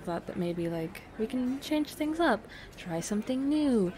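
A woman's voice: a little high, expressive, unhurried, dark tone. A woman playing a playful, affectionate secret girlfriend in an intimate roleplay audio, hesitant and trailing off as she teases. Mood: tender. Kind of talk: intimate roleplay audio, casual improvised-sounding chat. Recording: noticeable cafe ambience noise behind.

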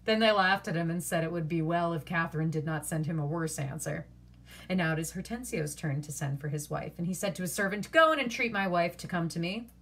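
Young woman, expressive storytime reading, lowish pitch, husky grain, webcam mic in a bedroom. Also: brisk. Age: young adult. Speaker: actor and teaching artist reading a story. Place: small bedroom, indoors.

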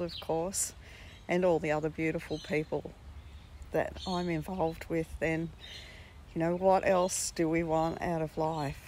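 A woman in her sixties with a husky voice, chatting slowly and warmly in a casual live stream from a sunny park, speaking into a phone held at arm's length. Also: fairly low pitch. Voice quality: husky today. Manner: slow, heartfelt, gently upbeat. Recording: faint outdoor noise with birds.

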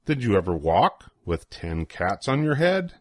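A man's voice: fairly low pitch, big pitch swings, unhurried, darkish tone, dry recording, studio quality. An older man reading a children's rhyming picture book aloud in a playful, sing-song way with swooping emphasis. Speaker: storybook reader.

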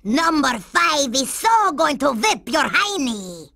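A woman's voice: high voice, big pitch swings, slow, vocal fry, pushed and bright, dry recording, clean studio sound, full character voice. A woman voicing a cool, cocky, sassy girl in a cartoon video game, with a drawling delivery. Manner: drawling, refers to herself in third person.

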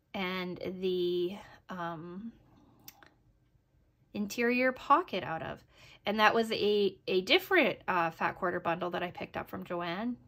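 A woman with a slightly hoarse voice, giving a casual, chatty craft review with hesitant phrasing and little check-ins. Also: medium pitch, a bit bright. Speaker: sewing pattern reviewer. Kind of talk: casual review narration over project photos.

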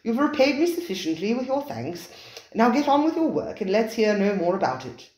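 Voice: medium pitch, very animated, brisk, darkish tone, reverberant, pitched above the performer's own voice, lightly acted, poor audio. A reader voicing an anxious, earnest male diner. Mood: flustered.

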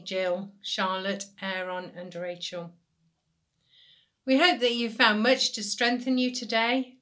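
A woman's voice: mid-range voice, a bit bright. Older woman leading worship. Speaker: church service leader. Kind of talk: measured devotional address and prayer. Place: small indoor room at home.

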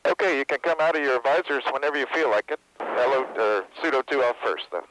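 A man's voice: mid-range voice, fast. A man speaking as a shuttle astronaut, giving short technical flight callouts over a crew intercom. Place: inside shuttle cockpit during launch. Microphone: crew helmet headset intercom. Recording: very noisy clicking cockpit intercom. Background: very loud cockpit noise.

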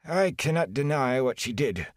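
A man's voice: a little high, expressive, normal speed, fairly bright tone, dry recording, clean studio sound, voice pushed up. A man doing a muttering old thatcher. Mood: pleading. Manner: muttering, pleading. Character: old grumbling male village thatcher.

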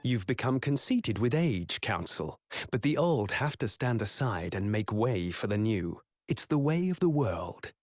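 A haughty, stern young man from a video game. He declaims formally in a mid-range voice with lively swings, dry over faint music. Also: medium pitch, no echo.

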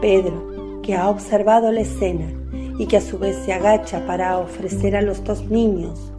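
A woman's voice: medium pitch, steady tone, normal speed, music in the background. A woman narrating a literary radio play from a script.

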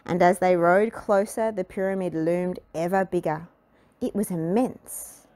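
Slow, low-pitched woman reading a storybook. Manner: expressive, deliberate. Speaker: storybook reader. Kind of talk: scripted children's storybook narration.

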